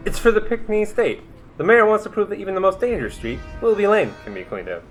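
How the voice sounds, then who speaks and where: A man plays a young masked sidekick in a radio drama. He is wry and inquisitive, with animated, swinging pitch and faint music behind him. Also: fast. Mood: puzzled.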